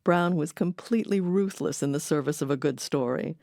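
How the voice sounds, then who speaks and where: A woman's voice: low voice, moderate pace, gravelly, background noise. A woman hosting an audio documentary, delivering scripted narration. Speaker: documentary host.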